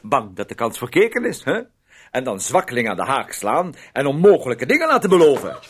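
A man's voice: mid-range voice, wildly swooping pitch, fairly quick, a little hiss or hum. An actor playing a fussy, manipulative man who poses as a caring guardian in a radio play, talking in a wordy, insinuating, condescending way with tag questions. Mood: scornful, agitated.

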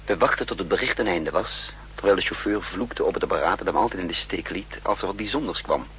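A man's voice: low-pitched, fast, fairly bright tone, slight background noise, rough recording. An actor playing a resourceful, self-assured spy who narrates his story wryly in a 1960s radio play. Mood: tense recounting. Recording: old radio recording with music behind.

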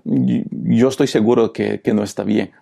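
Gravelly pastor. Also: male voice, on the low side, fairly even intonation, normal speed, dark tone. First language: Portuguese. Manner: preachy, repeated rhetorical questions. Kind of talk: Bible lesson commentary.

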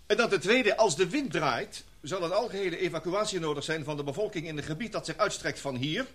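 A man's voice: on the high side, animated, fairly bright tone. Een man die in een hoorspel een ernstige, methodische professor speelt, met een bedachtzame, uitleggende manier van praten. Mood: earnest, grave. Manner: deliberate, explanatory. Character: earnest, methodical male professor advising a commission. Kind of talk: radio drama acting.

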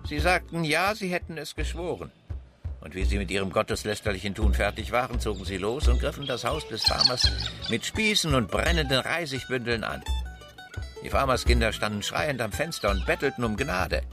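Radio drama role: mocking, menacing authority figure, played by a man, lively pitch movement, music behind. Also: natural voice. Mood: grimly relishing. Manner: sly, mocking, drawn-out storytelling. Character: menacing, taunting man of authority grilling a boy. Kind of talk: German radio drama acting.

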